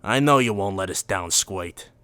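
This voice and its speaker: A man's voice: deep voice, expressive, moderate pace, sharp tone, clean tone, dry recording, clean studio sound. A man voicing a foul-mouthed, power-hungry gangster boss with a blunt, menacing swagger. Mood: commanding.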